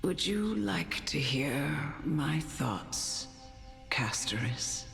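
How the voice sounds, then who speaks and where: A serene fantasy-game noblewoman speaks in a very deep register with slow, measured phrasing. She sounds like a wise, motherly mentor. Long reverb trails her words and music plays clearly behind. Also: lively intonation, a bit bright, exaggerated voice. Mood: gently musing. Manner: measured, philosophical, formal phrasing. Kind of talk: scripted fantasy game dialogue.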